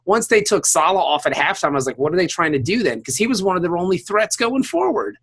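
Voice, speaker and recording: An American man chatting casually about sports as a podcast co-host, animated and slangy with plenty of swearing. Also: sits high, quick pace, breathy edge.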